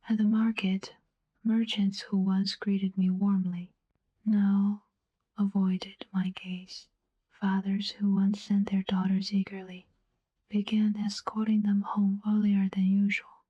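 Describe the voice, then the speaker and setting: A woman whispering a first-person literary narration in a hushed, solemn, ASMR-like way, with deliberate pauses, as a tender, wistful poet. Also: medium pitch, breathy edge, dull audio. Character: female ancient Greek poet telling of forbidden love, tender, wistful.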